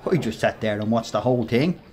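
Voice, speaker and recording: An actor with a Dublin accent playing a brash, mocking workplace bully in a radio play, talking in slangy, sneering bursts. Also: male voice, medium pitch, fast talker, darkish tone, breathy edge, lightly acted. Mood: smug. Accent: Irish, Dublin.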